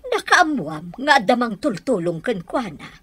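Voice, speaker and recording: A woman with an Ilocano accent playing a bossy, nosy middle-aged mother in a radio drama, with a husky voice. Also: a little high, very animated, measured pace, a bit bright, heavily acted. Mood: suspicious.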